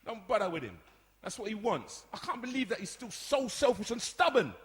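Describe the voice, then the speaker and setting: Guy playing big brother in a radio soap, high lively voice, chiding, exasperated, teasing. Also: fairly quick. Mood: resentful. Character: exasperated older brother, outspoken, teasing, self-righteous. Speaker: actor in a radio drama. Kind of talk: radio soap acting before a live audience. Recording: echoey hall, long reverb.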